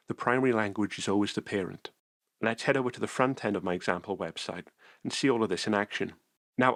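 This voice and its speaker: A man calmly narrating a software tutorial screencast, explaining each step methodically. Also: on the low side, no room sound.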